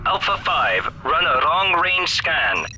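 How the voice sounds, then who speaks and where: A man's voice: on the high side, very animated, slow, pushed and bright, heavily acted. An actor playing a commanding male squad leader giving orders over radio in a space game.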